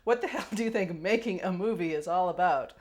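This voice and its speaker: A woman with a mid to low-pitched voice talks candidly, as if being interviewed. She is wry and often hesitant, searching for her words as she looks back. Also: brisk, darkish tone. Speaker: film production executive, interviewee.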